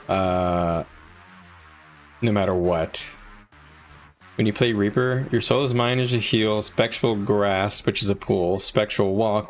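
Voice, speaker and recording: A man talking casually to viewers on a live gaming stream, explaining things in an informal, conversational way. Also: lowish voice. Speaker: game livestreamer. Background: music.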